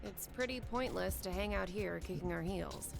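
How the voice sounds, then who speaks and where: A practical young female game character, with a mid-pitched voice full of creak. She sounds dismissive and matter-of-fact, with music clearly behind her. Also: moderate pace. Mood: skeptical, dismissive. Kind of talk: scripted game voice acting.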